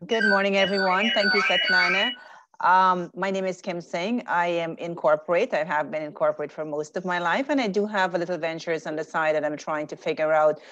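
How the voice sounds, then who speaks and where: Low-voiced woman on a dry muffled call. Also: steady tone, fairly quick, a bit bright, slight background noise. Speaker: corporate leader and mentor. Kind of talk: self-introduction in an online meeting. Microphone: video call.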